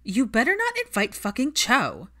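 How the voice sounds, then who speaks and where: A woman's voice: a little high, huge pitch swings, normal speed, bright, forceful tone, pitched above the performer's own voice, full character voice. A woman voicing a sulky, sardonic young witch in an audiobook, blunt and easily irritated. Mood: angry. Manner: sulking, blunt, profane. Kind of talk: audiobook character voice.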